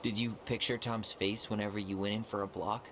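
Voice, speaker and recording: An actor playing an easygoing young American man in a video game, speaking casually and with gentle, understated humor. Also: fairly low pitch, flat delivery, brisk, background noise, natural voice. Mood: joking, warm. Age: young adult. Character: easygoing young man, twin brother leaving his childhood home. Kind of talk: naturalistic video game dialogue.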